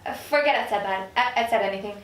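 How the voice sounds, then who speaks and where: A woman's voice: medium pitch, quick pace, natural voice. An actress at a script table read playing an impatient, blunt, incredulous woman who is exasperated and desperate. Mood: urgent. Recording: echoey room from far off.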